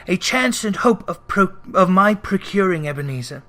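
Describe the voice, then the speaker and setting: A stern and sorrowful ghost played by a male reader with a high voice for a man. He declaims his storybook warnings slowly and solemnly, drawing words out into a remorseful wail. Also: lively intonation, sharp tone. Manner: wailing, solemn, drawn-out declamation. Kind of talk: theatrical storybook reading.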